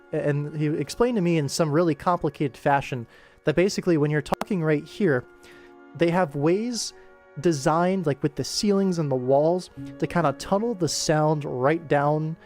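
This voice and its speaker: Casting: young man, streamer-animator, lightly higher register, loose chatty work-along delivery over background music. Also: a little mellow. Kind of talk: casual rambling livestream commentary while working.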